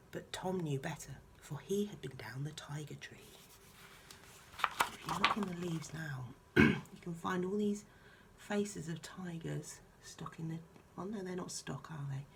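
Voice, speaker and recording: Low-pitched woman reading a story. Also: slight background noise. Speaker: storyteller reading a picture book aloud. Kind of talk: children's story read aloud with chatty asides.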